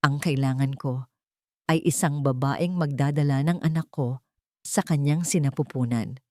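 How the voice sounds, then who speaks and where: A woman's voice: rumbling low, normal speed, dark tone, dry recording, clean studio sound, pitched below the performer's own voice. A woman voicing a cold, calculating male billionaire boss, curt and emotionless, in a romance audio story. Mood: cold, commanding.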